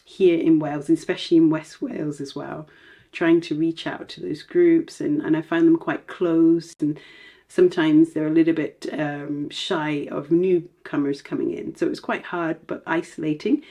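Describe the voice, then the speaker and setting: A woman's voice: deep voice, level tone, moderate pace, dark tone. A woman, an artist, talking casually and unhurriedly about meeting other artists. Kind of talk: casual conversation.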